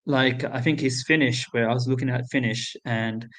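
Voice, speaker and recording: Man, livestream caller, mid-pitched, halting, casual chat. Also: steady tone, a bit bright. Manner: halting, many ums and restarts.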